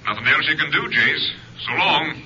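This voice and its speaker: Forceful, brisk police captain. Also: male voice, fairly high pitch, animated, exaggerated voice. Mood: businesslike. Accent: American. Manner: crisp, official, businesslike. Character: brisk male police captain reporting in, efficient. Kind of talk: 1950s radio drama acting. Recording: muffled old radio recording, faint noise.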